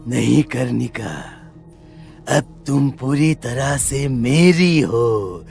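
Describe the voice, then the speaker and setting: Man acting a sinister shadow spirit, gloating, very slow delivery, horror cartoon, loud music underneath. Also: medium pitch, creaky. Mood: gloating menace. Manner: slow, menacing, possessive. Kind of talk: Hindi horror cartoon dubbing.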